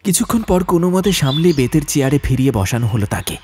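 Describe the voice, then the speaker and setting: A man's voice: fairly high pitch, very animated, quick pace, a little mellow, a little hiss or hum. A man narrating a horror audiobook in a dramatic, suspenseful, theatrical manner.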